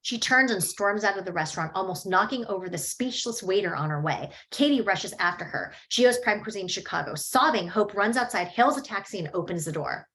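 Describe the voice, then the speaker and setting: A woman reading the stage directions at a screenplay table read in a steady, measured way. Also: mid-range voice, rough recording.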